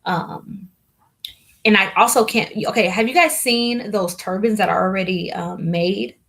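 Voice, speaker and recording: A woman's voice: mid-range voice, a little slow, creaky, fairly bright tone. A young woman chatting casually to viewers on a live stream, rambling with lots of fillers and restarts. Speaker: hair vlogger hosting a live Q&A.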